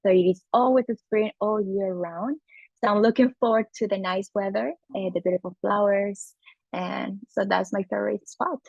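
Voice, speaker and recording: Gravelly Colombian woman, casual podcast guest. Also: mid-range voice, fairly even intonation, moderate pace, darkish tone, no room sound, lo-fi sound. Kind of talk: casual conversation.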